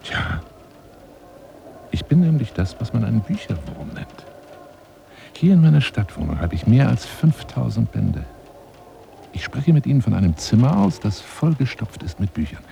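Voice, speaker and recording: A man's voice: medium pitch, very animated, moderate pace, creaky, pauses often, dark tone, slight background noise. An actor playing a lonely, self-important bookish man in a radio drama monologue, theatrical and rambling, often dropping to a near-whisper. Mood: proud, confiding.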